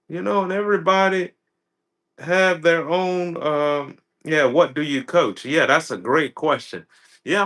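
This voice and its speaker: A man of thirty talks slowly and loosely on a livestream. His voice is bright, and he often stops on "uh" and says the same thing again. He sounds like a coach speaking straight to his viewers. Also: on the high side. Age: 30s. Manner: slow, repetitive, many fillers and restarts. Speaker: personal development coach. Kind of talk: casual livestream chat.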